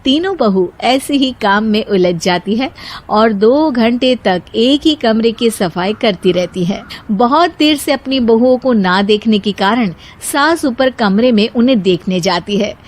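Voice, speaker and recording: A woman narrates a scripted bedtime story. Her voice is high-pitched. She tells the events as a storyteller. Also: expressive, a little slow.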